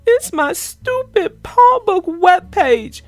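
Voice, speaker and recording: A woman's voice: extremely high pitch, big pitch swings, takes it slowly, darkish tone, no room sound, soft background music, pitched above the performer's own voice, exaggerated voice. A woman voicing an upset young girl bear who whines and sobs dramatically in a children's storybook. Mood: sobbing, indignant. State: crying.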